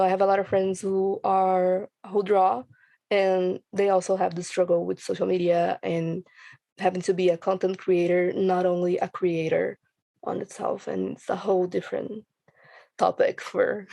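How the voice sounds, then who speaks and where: Brazilian-accented woman with a creaky voice. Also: medium pitch, a little mellow, dry recording. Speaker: audio drama podcast creator and voice actor. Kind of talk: casual panel chat.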